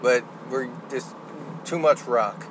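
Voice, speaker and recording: A man chats as a podcast host in a loose, conversational way. He is blunt and jokey, with a mid-pitched voice. A faint layer of noise sits behind him. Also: slow pace, poor audio. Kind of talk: casual conversational podcast chat about movies.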